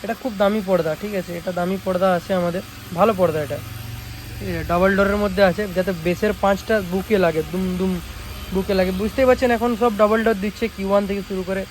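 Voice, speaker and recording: A man's voice: high-pitched, steady tone, quick pace. A man with a West Bengal Bengali accent casually presenting products in a vlog, with emphatic, repetitive sales talk and frequent check-in tags, recorded on a phone. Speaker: speaker repair shop owner and video host. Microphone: phone camera. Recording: noisy, engine-like hum and hiss.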